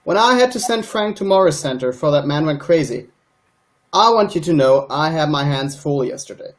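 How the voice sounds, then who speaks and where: A man's voice: fairly high pitch, animated, moderate pace, natural voice. A man playing a busy, defensive small-town sheriff in a radio play, talking conversationally and justifying himself. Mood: businesslike, defensive.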